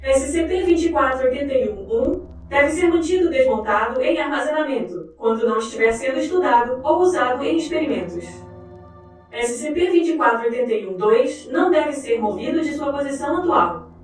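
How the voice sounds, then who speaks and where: A woman narrating a formal scripted document in a measured way. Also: sits high. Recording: very echoey, distant, faint music behind.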